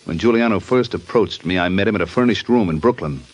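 A man acting a streetwise small-time private investigator in an old-time radio drama, gruff, with slangy tough-guy talk. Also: on the low side, fast. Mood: affable. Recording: noisy vintage radio recording.

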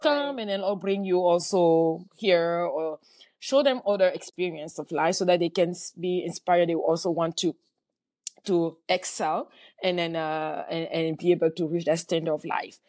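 A middle-aged woman from Cameroon, a guest answering in a halting way with many fillers and restarts. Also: lowish voice, darkish tone, no room sound. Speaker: guest entrepreneur. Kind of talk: conversational interview answer.

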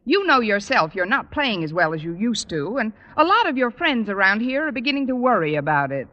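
A wisecracking schoolteacher. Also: female voice, a little high, very animated, fairly quick, muffled recording. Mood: wry. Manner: dry, wisecracking. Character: witty wisecracking female high school teacher. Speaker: lead and narrator. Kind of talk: 1940s radio sitcom acting. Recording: noisy old radio broadcast.

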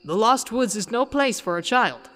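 A woman voicing a dignified giant owl in a story, formal, courteous and measured. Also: fairly high pitch, expressive, fairly bright tone, long reverb tail, soft background music. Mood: courtly concern.

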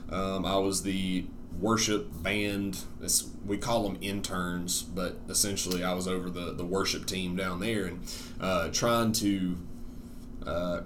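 A young man with a relatively deep voice, a church worship director talking casually on a podcast, relaxed and halting with many ums. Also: background noise. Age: young adult.

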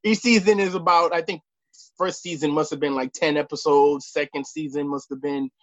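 Male guest, late thirties, slightly high voice, casual and halting, muffled lo-fi recording. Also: steady tone, dry recording. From United States. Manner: halting, repeats words and phrases. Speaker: podcast guest, sports referee. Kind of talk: casual conversational interview.

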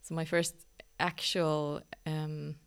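Woman from northern Sweden, guest on a podcast, gravelly low voice, thoughtful, hesitant with many ums. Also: flat delivery, takes it slowly. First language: Swedish. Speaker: yoga teacher, guest. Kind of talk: reflective casual conversation.

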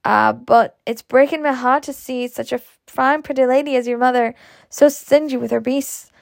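Woman reading as blustering Southern gentleman, creaky voice. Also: high voice, steady tone, moderate pace, dry recording, studio quality. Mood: coaxing, goading. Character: blustering, coaxing Southern gentleman planter, a grown man.

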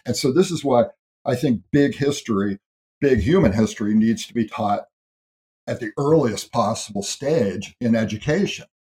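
A man's voice: medium pitch, lively intonation, moderate pace, mellow. A man speaking as an interview guest, explaining big ideas in a thoughtful, earnest way with small restarts. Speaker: guest speaker on history and ancestry. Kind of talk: interview conversation.

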